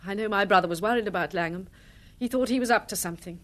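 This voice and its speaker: A woman's voice: medium pitch, fast, fairly bright tone, close to an everyday voice. An actress playing a troubled married woman in a radio drama, earnest and hesitant as she confides. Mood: subdued, confiding.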